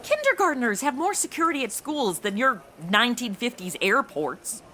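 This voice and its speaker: A woman's voice: on the high side, very animated, moderate pace, bright, forceful tone, a little hiss or hum. A woman voicing a sassy, chatty modern young American woman in a comedy audio drama, with sarcastic, exaggerated reactions. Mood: exasperated.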